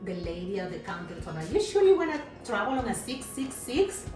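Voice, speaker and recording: A woman's voice: medium pitch, big pitch swings, fairly quick. A woman from Peru with a Peruvian Spanish accent, a native Spanish speaker, chatting casually as a co-host helping to cook. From coastal Peru. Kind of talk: casual chat. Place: indoor kitchen with cutlery and dishes. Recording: roomy kitchen, distant mic, music underneath.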